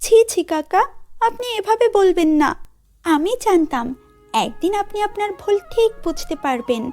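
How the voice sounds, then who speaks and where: A woman's voice: very high voice, lively intonation, moderate pace, darkish tone, soft background music. A woman voicing a polite, earnest young social worker in a children's cartoon, with a principled manner. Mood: humble, kind.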